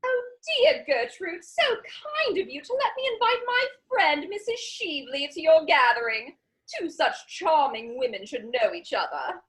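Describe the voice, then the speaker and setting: A woman's voice: high-pitched, sing-song, moderate pace, a bit bright. A woman playing an effusive, chatty society lady in a period stage comedy, with a genteel manner.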